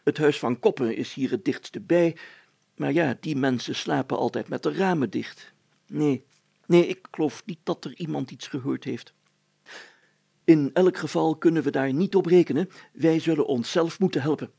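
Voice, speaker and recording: Pompous storybook mayor, performed by a male reader: bossy, declamatory, raised pitch, creaky edge. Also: moderate pace, dark tone. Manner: declamatory, grandiose, commanding. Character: pompous, bossy male village mayor, self-styled fearless hero. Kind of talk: storybook character voice.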